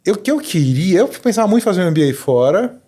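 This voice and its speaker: A middle-aged man from Brazil, a podcast guest thinking aloud in relaxed interview talk with many restarts. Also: high voice, quick pace. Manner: reflective, lots of fillers and restarts. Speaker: podcast guest, learning specialist. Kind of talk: conversational interview.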